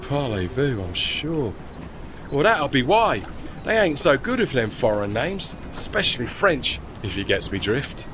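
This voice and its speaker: Chatty cockney tugboat bloke, proud and eager to please, voice swoops about, boat and water noise behind. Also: male voice, medium pitch, very animated, unhurried. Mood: chatty, apologetic. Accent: London Cockney. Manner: folksy, ungrammatical, rambling. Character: chatty, deferential working-class river tugman, proud of his trade. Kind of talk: period audio drama acting.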